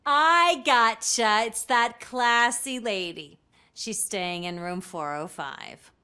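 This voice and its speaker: A crisp, bright female voice as a jaded front-desk clerk in a game. She drawls along slowly, casual, dry and unfazed. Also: a little high. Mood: knowing. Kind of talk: video game cutscene dialogue.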